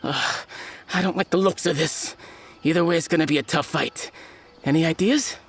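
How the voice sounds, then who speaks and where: A woman's voice: sits low, moderate pace. A woman playing a blunt but nervous street dealer of illicit goods in a video game. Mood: alarmed. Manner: blunt, then jittery and pleading.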